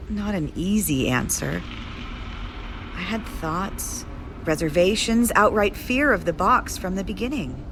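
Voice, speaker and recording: A bitter, resolute woman in an audio drama, speaking slowly in a slightly low voice. She is solemn and deliberate, with weighty pauses, over a clearly noisy rumble. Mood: troubled, confessing. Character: bitter, resolute woman, estranged lover turned zealot.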